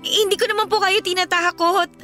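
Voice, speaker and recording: An actress playing a meek, naive young housemaid in a Filipino radio drama, with a polite, plaintive manner and a Filipino accent. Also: female voice, very high-pitched, steady tone, fast talker, pushed and bright. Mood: innocent, puzzled. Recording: background music under voice.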